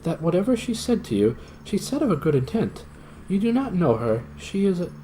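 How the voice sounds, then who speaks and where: A man's voice: high voice, mellow, a little hiss or hum, pitched above the performer's own voice, poor audio. A man reading a storybook aloud, voicing a proud young male knight with commanding, old-fashioned speech.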